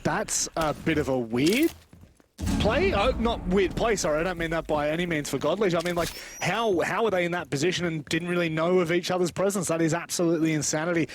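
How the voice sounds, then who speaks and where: Expressive higher-pitched man commentating esports over game noise. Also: a little fast. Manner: expressive, exclamatory, joking asides. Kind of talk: live play-by-play game commentary.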